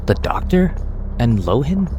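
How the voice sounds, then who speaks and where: A man's voice: mid-range voice, big pitch swings, a little slow, warm tone, lo-fi sound, slight background noise. A man giving casual let's-play reactions as he streams a game.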